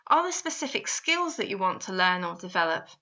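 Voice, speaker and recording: A woman delivers scripted instructional narration in a bright, forward voice at a medium pitch. She explains things methodically and addresses the listener directly. The recording sounds somewhat dull and lo-fi. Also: sing-song, fairly quick. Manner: clear, explanatory, addressing the listener with guiding questions. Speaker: university placement adviser narrating a guidance video.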